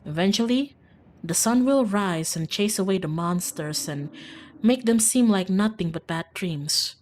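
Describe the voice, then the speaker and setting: An ominous storyteller narrates a horror visual novel, read by a woman streamer. The voice is mid-pitched and hushed, sinking softer at the ends of phrases. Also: lively intonation, measured pace, slight background noise, natural voice. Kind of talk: scripted narration.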